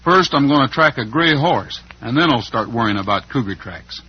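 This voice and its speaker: An actor playing a steady, fair-minded cowboy hero in an old-time western radio drama. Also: male voice, mid-range voice, moderate pace, husky, soft background music. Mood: determined, reasoning. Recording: muffled vintage radio broadcast.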